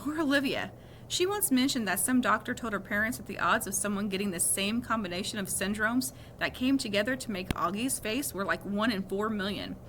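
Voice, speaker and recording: A woman's voice: medium pitch, a bit bright, a little hiss or hum, low-quality recording. A woman reading a children's novel aloud expressively, now and then correcting a small slip. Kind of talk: read-aloud storytelling.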